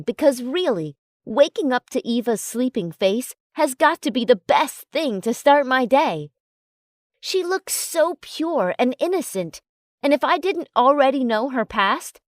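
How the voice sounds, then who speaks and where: A woman narrating an audiobook in a calm, even storytelling manner. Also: high voice, no echo, clean studio sound. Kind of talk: audiobook narration of a romance novel.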